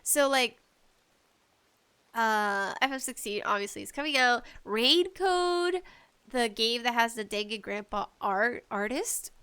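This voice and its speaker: A woman hosting a casual, rambling solo podcast chat, enthusiastic and animated in her emphasis. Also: on the high side, unhurried, clear, resonant tone. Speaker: podcast host talking about video games.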